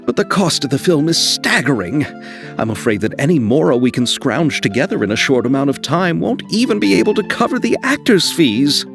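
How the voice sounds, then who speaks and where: A man's voice: a little high, big pitch swings, fairly quick, creaky, over music. A man playing an earnest, flustered young film director in a video game, polite and a little hesitant. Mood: worried. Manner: polite, hesitant, nervously apologetic.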